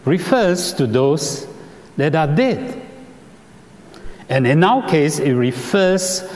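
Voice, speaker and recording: A Singaporean man delivers a sermon at an unhurried pace, his voice moving expressively up and down. He explains carefully, now and then stopping on an "uh." His words ring out with the long reverb of a large hall. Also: medium pitch, darkish tone. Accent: Singaporean English. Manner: measured, explanatory, with "uh" fillers. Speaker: preacher.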